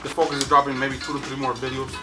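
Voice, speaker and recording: Fast-talking laid-back rapper guest. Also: male voice, mid-range voice, little pitch movement. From Guatemala. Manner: laid-back, slangy, laughs easily. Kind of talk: casual interview chat. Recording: distant mic with loud music behind.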